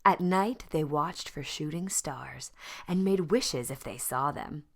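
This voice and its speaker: A woman reading a children's story aloud in expressive narration, with deliberate phrasing and dramatic pauses. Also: low-pitched. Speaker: storybook reader.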